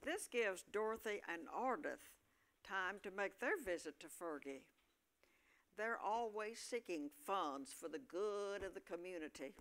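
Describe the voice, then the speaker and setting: A woman's voice: medium pitch, expressive, a little slow, fairly bright tone. A woman reading scripted comic narration for a staged play, in a mid-range voice.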